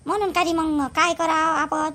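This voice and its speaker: A woman's voice: very high voice, steady tone, moderate pace, bright, forceful tone. A woman voicing a chatty, aggrieved village housewife in a cartoon, speaking with a Varhadi Marathi accent from Vidarbha in a plaintive, rambling way. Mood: complaining. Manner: gossipy, plaintive, repetitive rambling. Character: chatty, aggrieved village housewife complaining about her sister-in-law. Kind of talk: amateur cartoon dialogue in village dialect.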